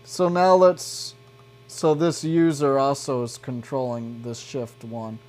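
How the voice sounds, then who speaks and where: A man's voice: medium pitch, steady tone, slow pace, darkish tone, soft background music. Man giving a casual gear demo. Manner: informal, checks in with "right" and "okay". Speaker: guitar pedal demonstrator. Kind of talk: casual product demo, explaining step by step.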